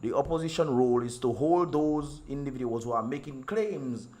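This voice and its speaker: A hoarse man, chatting casually to his livestream viewers in a rambling way full of ums and repeated words. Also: medium pitch, moderate pace, dark tone. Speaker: livestream host and singer.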